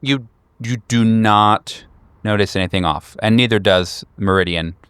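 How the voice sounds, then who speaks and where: A man's voice: fairly low pitch, measured pace, fairly bright tone, clear, resonant tone, no echo. A man acting as game master, narrating a tabletop horror game in a conversational way.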